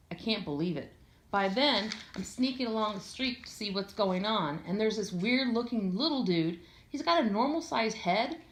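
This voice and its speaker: Woman reading a story in an echoey room. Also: medium pitch. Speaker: audiobook reader. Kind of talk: expressive first-person story reading.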